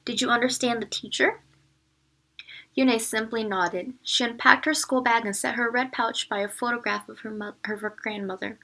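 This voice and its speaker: A woman teacher reading a picture book aloud, narrating and pausing to explain it to young listeners. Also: a little high, fairly even intonation, vocal fry, pushed and bright.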